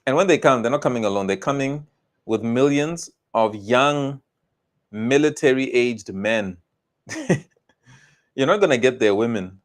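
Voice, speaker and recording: A man's voice: mid-range voice, a little slow, pauses often. Emphatic South African man, scolding. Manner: emphatic, scolding, repetitive warnings. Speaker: commentator. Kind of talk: heated political commentary.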